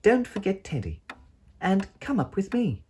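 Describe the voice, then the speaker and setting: A woman voicing a gentle, affectionate parent coaxing a child to bed in a children's storybook. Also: mid-range voice, huge pitch swings, measured pace, slight background noise, voice pushed up.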